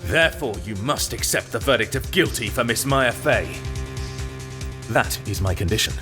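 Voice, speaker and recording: A man's voice: mid-range voice, lively intonation, moderate pace, natural voice. A man voicing a smug, formal rival prosecutor in a video game dub, precise and pompous. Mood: stern. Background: music.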